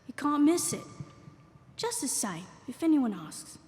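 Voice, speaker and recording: A woman's voice: sits high, sing-song, a little slow, darkish tone. An actress playing a cheeky, impatient British woman lieutenant in a wartime audio drama. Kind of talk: live audio drama acting. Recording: echoey hall, live stage recording.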